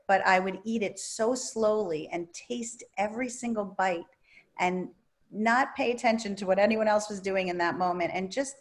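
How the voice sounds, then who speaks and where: A woman therapist and author answering questions at a virtual book talk, calm and soothing, with lively emphasis. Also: mid-range voice.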